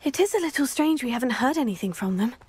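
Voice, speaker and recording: A youthful, husky schoolgirl heroine in a fantasy game. Her voice sits a little high, and she is polite, composed and matter-of-fact. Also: lively intonation, quick pace, darkish tone. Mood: curious, friendly. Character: composed, helpful teenage schoolgirl adventurer. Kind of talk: video game voice acting.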